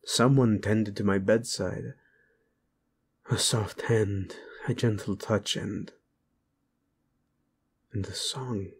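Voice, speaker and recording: A male voice actor playing a brooding young warlord prince who speaks softly and often drops to a whisper to his bride. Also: fairly low pitch, fairly even intonation, slow pace, long pauses, heavily acted. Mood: tender. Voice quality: husky, soft-spoken, often drops to a whisper. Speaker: audio roleplay voice actor. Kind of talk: audio roleplay acting.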